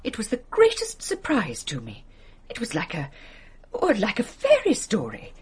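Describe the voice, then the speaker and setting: An actress playing a fussy, genteel English lady companion in a radio drama, hesitant, apologetic and gossipy, with a breathy English voice. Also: on the high side, huge pitch swings, normal speed, a little mellow, slight background noise. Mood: uneasy, defensive. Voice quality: breathy, husky. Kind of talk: British radio drama acting.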